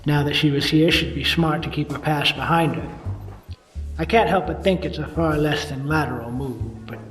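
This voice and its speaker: An actor playing a weary, wisecracking 1950s police detective in a hardboiled noir radio play, narrating with wry fatigue. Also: male voice, fairly high pitch, normal speed, fairly bright tone, echoey room, soft background music, close to an everyday voice. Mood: brooding, wry.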